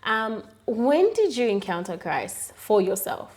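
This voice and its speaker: A woman host with a fairly high voice who leads a casual podcast interview. She keeps her questions short and prompts her guest with brief acknowledgements. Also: big pitch swings, measured pace, a little mellow.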